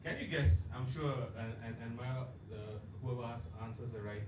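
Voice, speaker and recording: A man from Sri Lanka giving a talk to a live audience, playful and energetic, throwing questions at the crowd. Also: mid-range voice, normal speed. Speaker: guest speaker. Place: event hall with a live crowd. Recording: distant mic in a noisy, echoey hall.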